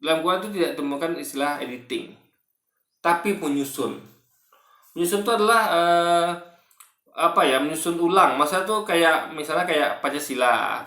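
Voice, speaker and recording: A man recording a casual reaction video in a bare, echoey room, pushing his points brightly as he stumbles and repeats himself. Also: a little high. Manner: halting, repetitive, self-correcting. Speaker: video commentator. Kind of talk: casual spoken reaction commentary.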